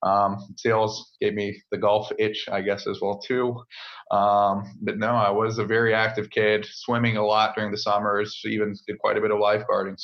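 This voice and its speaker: A man in his thirties, a native French speaker who grew up in the United States near Washington DC, answering interview questions in a relaxed, measured way with many filler pauses. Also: fairly low pitch, dull audio. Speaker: podcast guest. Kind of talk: conversational interview. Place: home setup abroad, remote call.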